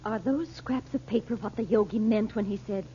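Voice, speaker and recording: A woman's voice: mid-range voice, mellow, a little hiss or hum, close to an everyday voice. A woman playing a worried, fretful mother of two teenagers, in 1930s radio drama style. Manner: worried, quick to fret. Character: anxious mother of two teens. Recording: muffled old radio recording.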